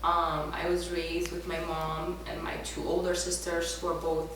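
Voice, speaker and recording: In a very echoey room, a young woman from Ethiopia speaks from a distance in a fairly low voice. She is a native Amharic speaker recounting her own life in an interview. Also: monotone, a little slow. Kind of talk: personal life story told in interview. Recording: echoey room, distant mic, faint noise.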